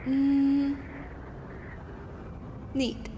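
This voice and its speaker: A woman's voice: sits high, monotone, drawn-out words. An actress in an audio drama playing a sly, efficient and friendly female officer. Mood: teasing, sly. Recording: clearly noisy background.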